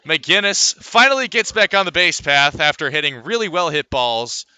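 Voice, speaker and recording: A male baseball radio announcer with a very bright, forceful, slightly high voice. He calls the game play by play, filling in with stats, and the sound is close and dry. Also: animated, normal speed, smooth, no echo. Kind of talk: live radio sports commentary.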